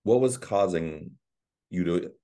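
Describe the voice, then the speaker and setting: A man hosting an interview podcast who asks halting, conversational questions with restarts. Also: deep voice, mellow. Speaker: podcast host.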